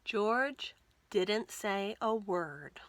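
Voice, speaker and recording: A woman in her thirties reading a picture book aloud to children, with careful, expressive storytelling. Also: medium pitch. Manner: slow, careful, expressive delivery for young children. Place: small indoor room lined with bookshelves.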